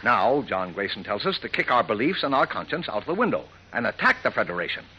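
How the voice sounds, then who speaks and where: A man's voice: medium pitch, very animated, fairly quick, breathy edge, highs cut off. A man playing a hot-tempered, stubborn middle-aged newspaper editor in 1940s radio style, blustering and emphatic. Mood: defiant. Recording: noisy old radio recording.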